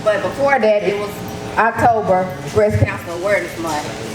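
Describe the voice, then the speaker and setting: A woman gives a loud, lively personal testimony to an audience at an event. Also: mid-range voice, normal speed, a crowd in the background. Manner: loud, lively storytelling in casual Southern speech. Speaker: breast cancer survivor speaking at an event. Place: event hall. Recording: echoey hall with noise.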